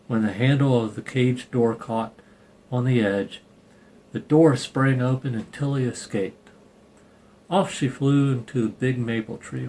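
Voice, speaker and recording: Male storybook reader, mid-pitched, casual, slight room noise. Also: darkish tone, rough recording. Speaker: storybook reader and channel host. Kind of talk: reading a picture book aloud with banter.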